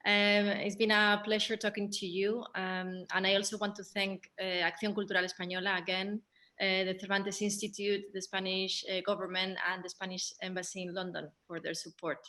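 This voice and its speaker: A woman hosting an unscripted panel discussion, speaking in a hesitant, halting way with frequent pauses. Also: mid-range voice, level tone, bright, forceful tone. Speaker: host of a post-show panel, theatre director.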